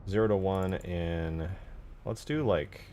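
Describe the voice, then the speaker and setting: A man giving casual livestream commentary as a game modder, informal and low-key, muttering to himself as he works. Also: slow pace, mellow, slight background noise. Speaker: game modder streaming his work. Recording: music behind the voice. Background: music.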